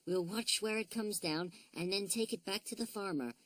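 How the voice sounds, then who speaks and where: A small, sweet girl teddy bear speaks in a soft, fretful storybook voice, as a woman acts her out. Her tone is pitched well above a low natural register. The recording is close and dry. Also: moderate pace, no room sound, close to an everyday voice. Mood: earnest.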